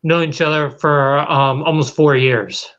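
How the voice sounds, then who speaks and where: A man in his late thirties talks earnestly as an interview guest. He pauses often and fills the gaps. His voice sits a little high, and the audio is dull and lo-fi. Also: fairly even intonation, a bit bright. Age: late 30s. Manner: earnest, halting with many fillers. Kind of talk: casual interview.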